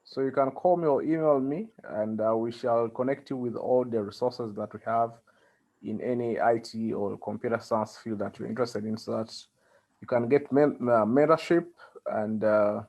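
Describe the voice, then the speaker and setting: A man with a Ugandan accent giving conversational interview answers as a guest, with halting phrasing and frequent pauses. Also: mid-range voice, darkish tone. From central Uganda. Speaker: interview guest, community organizer.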